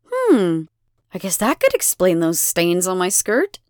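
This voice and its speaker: A woman's voice: on the low side, huge pitch swings, unhurried, a little mellow, clear, resonant tone, no room sound, studio quality. A woman voicing a cruel, volatile fire goddess in an audiobook, mocking, imperious and theatrical. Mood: dismissive. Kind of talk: audiobook character voice.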